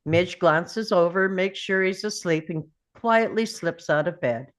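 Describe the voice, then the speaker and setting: Slow, low-voiced woman reading stage directions. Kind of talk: scripted narration, present-tense screenplay directions.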